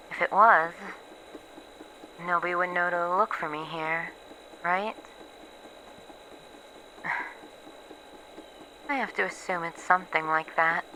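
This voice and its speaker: A weary, bitter female researcher played by a woman records a lonely log in a horror roleplay. She talks slowly and haltingly, with sighs and sardonic swearing. The voice is bright and mid-pitched, over faint background noise. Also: full character voice, rough recording. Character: bitter, weary female researcher losing her memories, hiding alone. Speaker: voice actor in an audio roleplay. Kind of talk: ASMR horror roleplay monologue, acted audio log.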